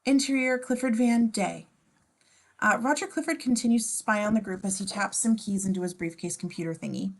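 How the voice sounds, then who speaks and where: A woman's voice: fairly high pitch, fairly quick. A woman narrating as scene description reader, reading screenplay stage directions aloud at a table read.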